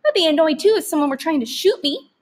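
A woman's voice: high voice, big pitch swings, fast talker, voice pushed up. A woman voicing a sensible, teasing mother in a story, with a wry, firm manner.

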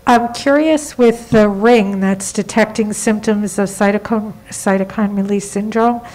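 A woman patient advocate asking measured, inquisitive questions in a meeting. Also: medium pitch, steady tone, darkish tone, rough recording.

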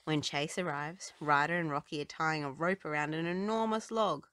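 A woman reading a children's storybook aloud with expressive, dramatic phrasing. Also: deep voice, moderate pace. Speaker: children's book reader.

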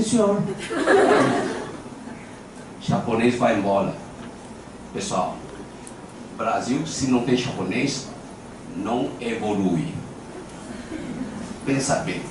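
An older man speaking Portuguese with a Japanese accent, giving an informal acupuncture lecture into a handheld mic, telling anecdotes in a playful, self-deprecating way. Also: on the high side. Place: large lecture hall with audience. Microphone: handheld microphone. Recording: echoey hall, camera at a distance, background noise.

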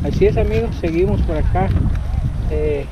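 A man's voice: high-pitched, normal speed, dull audio, heavy background noise. A man vlogging while walking an outdoor flea market, chatting to his viewers as friends. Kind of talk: casual vlog narration.

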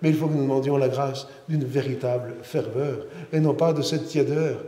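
A man's voice: medium pitch, steady tone, normal speed, dark tone. A middle-aged man, a priest giving a sermon, speaking earnestly and often restarting and repeating his words. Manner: earnest, many restarts and repetitions. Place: large church interior. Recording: roomy church with long reverb.

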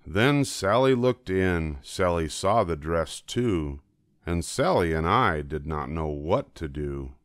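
An older man giving an expressive reading of a children's storybook, with drawn-out emphasis. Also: deep voice, no echo, studio quality. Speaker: storybook reader.

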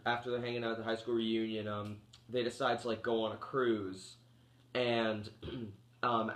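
A man's voice: lowish voice, fairly bright tone. Rambling man getting over a cold. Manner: rambling, full of um and like, restarts sentences. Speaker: movie reviewer. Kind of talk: casual rambling movie review. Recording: echoey room.

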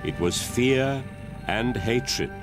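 An actor playing a grave, measured storyteller, narrating an audio drama. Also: male voice, mid-range voice, lively intonation. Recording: loud music behind narration.

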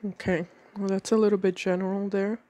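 A woman with a cold giving a calm tarot reading at a table indoors, slowing down as she names each card. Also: mid-range voice, muffled recording. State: has a cold. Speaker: tarot reader.

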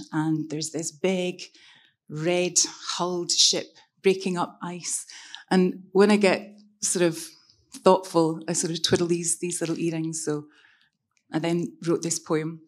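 A middle-aged Scottish woman speaks on a conference stage into a handheld mic. Her voice sits fairly low, and her delivery is soft and reflective, with frequent ums. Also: level tone, a little slow. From Scotland. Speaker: panelist. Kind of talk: reflective personal talk on stage.

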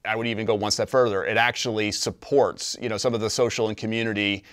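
A man in his forties speaks with a smooth, lowish voice in a dry studio. He is an interview guest, laying out his points in an earnest, orderly way and restating them as he goes. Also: flat delivery, fast, fairly bright tone, dry recording. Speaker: business co-owner, interview guest. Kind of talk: explanatory interview talk. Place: small TV studio.

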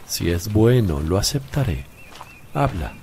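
An actor playing a calm, wise male teacher with gentle authority in a solemn religious radio play, speaking in a measured way. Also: lowish voice, a little hiss or hum. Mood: solemn, firm. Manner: measured, deliberate. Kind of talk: slow, solemn radio drama acting.

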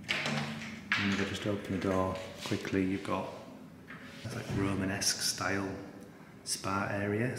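A man talking casually and informally as a travel vlogger, with an upbeat, chatty manner. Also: on the low side. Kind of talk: casual vlog chat.

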